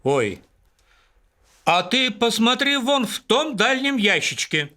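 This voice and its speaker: Anxious father, high male voice, lively intonation, urgent, fairy-tale acting. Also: slow, full character voice. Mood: helpful. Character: anxious adult father needing medicine, urgent.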